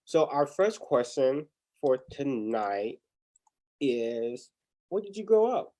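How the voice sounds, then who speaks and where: A man's voice: medium pitch, sing-song, slow pace, mellow. A young man hosting a livestreamed interview on a video call, chatty, often repeating words as he restarts. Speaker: interview host.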